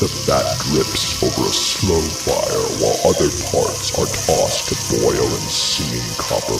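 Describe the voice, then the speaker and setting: An actor playing a grave messenger in a classical tragedy, declaiming horrors in portentous, deliberate verse with a gravelly voice. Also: male voice, very deep voice, heavily acted. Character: grave male messenger recounting a horrific crime. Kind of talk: solemn theatrical verse declamation. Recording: roomy, distant voice under music.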